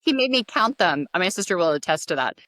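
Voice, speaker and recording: A woman's voice: lowish voice, very animated, fast talker, pushed and bright, no room sound. A woman hosting a podcast interview, asking enthusiastic, rambling questions. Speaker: podcast host. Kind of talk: conversational interview.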